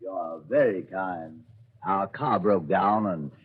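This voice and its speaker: A man's voice: low voice, slow, slightly rough, exaggerated voice. A man playing a polite but evasive British stranger, courteous and genteel, in 1940s radio acting. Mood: smooth, evasive. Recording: muffled old radio broadcast.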